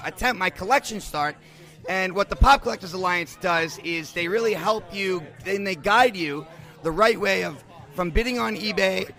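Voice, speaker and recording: A man's voice: high voice, pushed and bright. A man working as a podcast correspondent, doing an enthusiastic on-location interview at a bar on a handheld recorder. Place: bar in New York.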